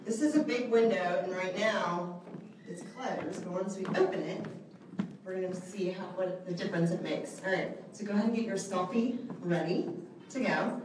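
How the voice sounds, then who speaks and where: Soft-voiced woman teaching in an echoey room. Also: lowish voice, normal speed, recorded from a distance, noisy background. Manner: chatty, asks the group questions, many okays and rights. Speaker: trainer teaching a selfie workshop. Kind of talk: live instructional talk to a group. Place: indoor room with a large window, small audience present. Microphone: handheld microphone.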